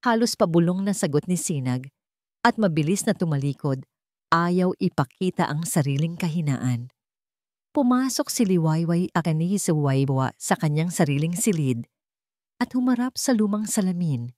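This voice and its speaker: A woman's voice: fairly low pitch, big pitch swings, moderate pace, darkish tone, no room sound, clean studio sound. A woman narrating a scripted story in a solemn, dramatic storytelling manner.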